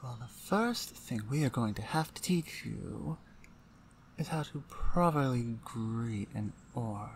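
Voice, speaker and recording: A woman voicing a blunt, domineering orc shaman, an older sister, in a deliberate, condescending ASMR roleplay. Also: rumbling low, expressive, darkish tone, a little hiss or hum, full character voice. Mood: exasperated, lecturing. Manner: deliberate, pointed, condescending, interrogating. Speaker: audio roleplay voice actor. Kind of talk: ASMR roleplay, one-sided dramatic dialogue.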